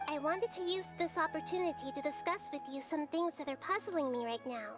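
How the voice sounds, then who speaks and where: A woman voicing a gentle, curious childlike girl god in a video game, speaking softly and kindly over game music. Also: fairly quick, close to an everyday voice. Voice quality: high, light and bright childlike voice. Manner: soft, friendly and inquisitive. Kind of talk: game voice acting. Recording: game audio with music behind.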